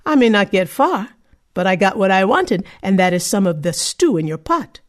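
A woman voicing a rude, greedy girl in a told folktale, speaking loud and mocking. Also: mid-range voice, very animated, moderate pace, close to an everyday voice. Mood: brash.

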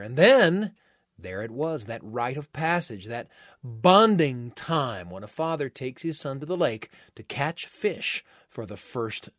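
A man hosting a radio storytelling show, narrating a personal tale in a measured, expressive way with deliberate pauses. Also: medium pitch, no room sound.

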